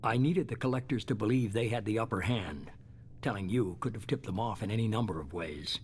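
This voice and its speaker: An actor playing a shadowy, coolly self-justifying middle-aged leader in a video game, measured, authoritative and unapologetic. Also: male voice, on the low side, slightly rough. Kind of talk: dramatic video game dialogue.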